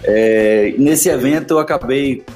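A man's voice: mid-range voice, unhurried, darkish tone, soft background music. A man from Salvador with a Bahian Brazilian accent, a podcast guest telling his story in a friendly, rambling way with many fillers. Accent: Bahian Brazilian Portuguese. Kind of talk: casual storytelling interview.

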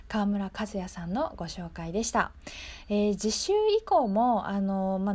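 A woman's voice: medium pitch, mellow. A woman hosting a local radio show in a casual, chatty, conversational way.

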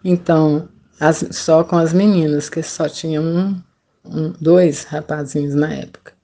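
A woman's voice: deep voice, steady tone, unhurried, mellow. An older woman with a Brazilian accent, a retired missionary telling her story conversationally as a podcast guest. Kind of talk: personal testimony, conversational storytelling.

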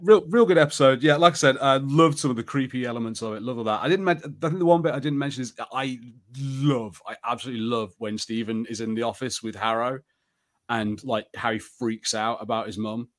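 A male podcast co-host in a casual review chat. He works out his opinions as he speaks, hedging and circling back. The phrasing is halting and conversational. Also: medium pitch. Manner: halting, hedging, thinking aloud.

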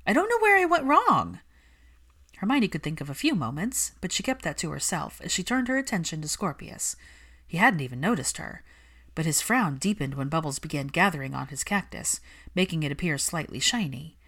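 A woman's voice: low voice, expressive, fairly quick, fairly bright tone, breathy edge. A woman reading scripted fiction aloud as a story narrator.

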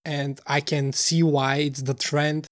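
Earnest man, slightly high voice. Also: little pitch movement, slow. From Bulgaria. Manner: earnest, searching for words, many restarts. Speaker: startup co-founder. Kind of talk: interview answers.

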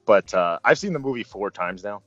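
A man casually reviewing a film as host, speaking in a halting, thoughtful, enthusiastic fan's way. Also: fairly low pitch, expressive, a bit bright, no room sound. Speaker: movie review show host. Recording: dull lo-fi sound, music behind.

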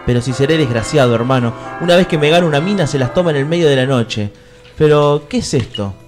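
An actor with an Argentine accent playing a crude, lovestruck fairy-tale prince in a comic radio play, mock-courtly and slipping into street slang. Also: male voice, on the high side, animated, fast, over music, close to an everyday voice. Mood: exasperated, eager. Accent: Argentine, Rioplatense. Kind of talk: comic radio theatre parody.

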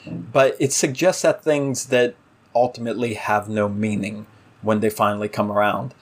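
A man with a medium-pitched voice talks as co-host of a casual TV discussion podcast. He thinks aloud, hedging and halting as he works through his opinions. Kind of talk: casual TV episode review discussion.

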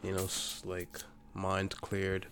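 A man from the Bahamas with a fairly low voice. He talks in a loose, halting way and repeats words while he finds them. A faint hiss sits under the recording. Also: completely flat delivery, a bit bright. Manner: hesitant, repeats words, laughs often. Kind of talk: casual chat over drinks.

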